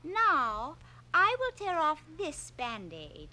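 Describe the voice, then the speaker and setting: A woman's voice: high voice, wildly swooping pitch, slow, bright, forceful tone, exaggerated voice. A woman playing a chirpy commercial presenter who speaks in a sing-song, salesy way. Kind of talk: mock advertisement.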